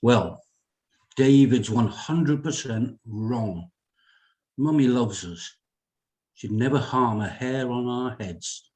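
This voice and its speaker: A man playing a gentle, intelligent doctor and father in a dramatic script reading, speaking in a soothing, reassuring manner. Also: medium pitch, animated, takes it slowly, pauses often. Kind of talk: dramatic script table read.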